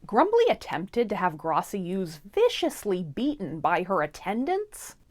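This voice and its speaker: A woman narrates a fairy tale with sassy, irreverent humor. Her delivery is theatrical and very animated, with widely swinging intonation and a casual feel. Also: medium pitch. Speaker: storyteller.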